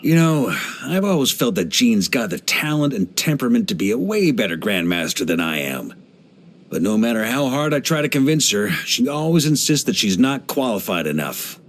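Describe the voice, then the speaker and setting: An actor playing a hearty, easygoing veteran knight grandmaster in a video game, speaking in an expressive, playful, conversational way. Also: male voice, medium pitch, normal speed, slight background noise, close to an everyday voice. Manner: jovial, expressive, playful, chuckling asides.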